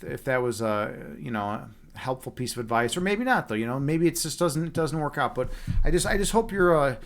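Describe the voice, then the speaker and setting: A young man with a Chicago accent, casually chatting with viewers on a live stream, laid-back and chatty, calling people man and dude. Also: medium pitch. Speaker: streamer and chess instructor.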